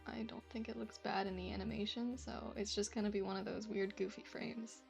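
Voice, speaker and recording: A woman's voice: mid-range voice, fairly even intonation, fairly quick, creaky, a little mellow, faint music behind. A woman streaming while she animates, chatting in an easygoing, giggly way and often trailing off.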